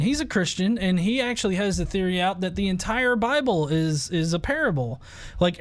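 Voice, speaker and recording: A man in his thirties hosting a casual debate on a video call, halting and repeating words as he argues his points. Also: high voice. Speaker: host of an atheist debate show. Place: small furnished room at home, video call.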